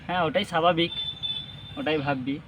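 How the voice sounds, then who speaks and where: A young man talks very fast in a fairly high voice. He sounds teasing and insistent, as in a casual call with a friend. There is some noise behind him, with birds squawking. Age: young adult. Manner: teasing, coaxing, insistent questioning. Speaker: vlogger making a prank phone call. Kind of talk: casual chat on a phone call. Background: parrot squawks and ambient noise.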